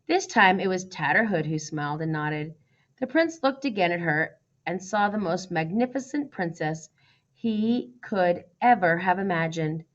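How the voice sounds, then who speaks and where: A woman's voice: lowish voice, expressive, a little slow, muffled recording. A woman reading a children's story aloud as its narrator. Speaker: storybook reader.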